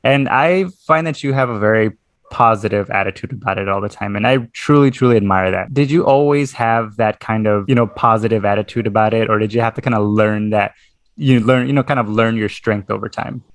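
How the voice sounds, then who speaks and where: A man's voice: fairly low pitch, brisk, no echo. A man asking interview questions in a polite, slightly hesitant way.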